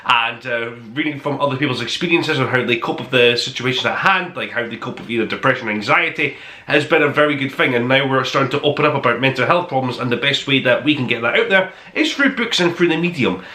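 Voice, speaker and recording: A man's voice: medium pitch, fast talker, sharp tone, echoey room. A man presenting a review podcast as its host, talking conversationally and emphatically to his listeners with enthusiasm. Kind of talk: conversational top-five review monologue to listeners.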